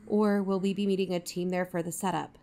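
An actress playing an earnest investigator who hosts a mystery podcast in an audio drama. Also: female voice, on the low side, flat delivery, fairly quick, mellow, slight background noise, natural voice. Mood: startled, flustered. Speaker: podcast host character and investigator. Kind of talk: audio drama narration and dialogue.

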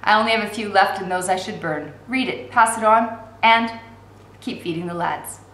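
A woman's voice: medium pitch, moderate pace, a bit bright, natural voice. A woman voicing a friendly, talkative young male shopkeeper with emphatic delivery in a storybook reading. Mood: earnest. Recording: roomy echo with faint background noise.

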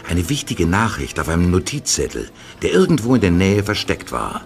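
Very deep, gravelly male narrator. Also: very animated, a little fast, soft background music. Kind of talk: scripted narration.

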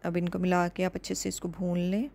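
A woman calmly narrating a step-by-step home cooking recipe as the host of a cooking channel, measured and instructive. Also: on the low side, vocal fry.

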